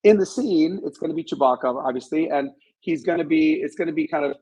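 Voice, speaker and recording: A man's voice: a little high, fast talker, a little mellow, slightly rough, rough recording. Male interview guest, author type, modest and reflective, conversational storyteller.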